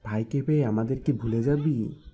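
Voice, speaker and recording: An actor playing an affectionate middle-aged father in an audio story, gentle but calmly in charge. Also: mid-range voice, moderate pace, husky, soft background music, natural voice. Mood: fond, inquiring.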